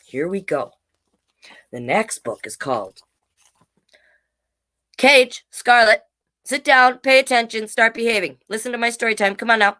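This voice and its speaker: Woman, children's storytime host, slow pace, very lively intonation, playful, encouraging, talks straight to the kids. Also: fairly high pitch. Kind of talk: animated picture-book read-aloud with chatty asides to young viewers.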